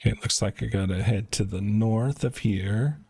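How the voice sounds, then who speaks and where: A man's voice: on the low side, moderate pace, darkish tone. A man live-streaming a game, casually commenting and reading quest dialogue aloud, with some noise in the recording. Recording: clearly noisy home stream audio.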